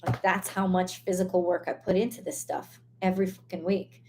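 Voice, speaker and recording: A hoarse woman hosting a live tarot stream, talking casually and bluntly, swearing and losing her train of thought as she vents. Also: fairly low pitch, flat delivery, fairly quick, noisy background.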